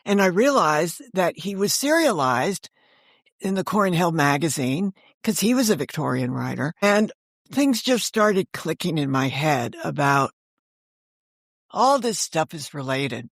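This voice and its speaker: An older woman with a fairly low voice, recorded dry. She explains things in a conversational, storytelling way as an interview guest, often correcting herself mid-sentence. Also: very animated, a little slow, dry recording. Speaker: guest, author and editor.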